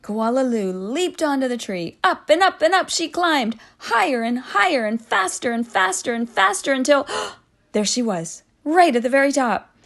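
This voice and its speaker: A woman reading a children's storybook aloud expressively, drawing out phrases with dramatic hushes and swells. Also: high-pitched, very animated, normal speed. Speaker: storybook reader.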